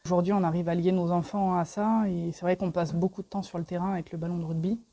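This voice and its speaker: A woman's voice: fairly low pitch, steady tone, fast talker, warm tone. A woman in her thirties from the Paris region, chatting enthusiastically in an interview about her village and her family's garage. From Paris region, France. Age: 30s. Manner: enthusiastic, chatty, frequent fillers. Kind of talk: casual interview answers.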